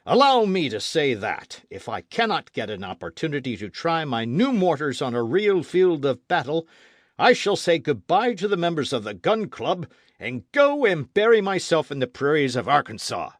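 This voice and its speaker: Storybook part: a fiery, indignant old veteran, a man puts him on, loud and swooping. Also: fairly high pitch, very animated, normal speed, no room sound, studio quality, voice pushed up. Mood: defiant. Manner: bellowing, indignant. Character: fiery elderly male gun-club veteran.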